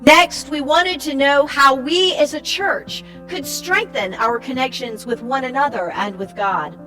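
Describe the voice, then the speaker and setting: A woman with a high voice narrates from a script for a church summary. She reads in a measured way, with music clearly playing behind her. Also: rough recording. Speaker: narrator, church project team member.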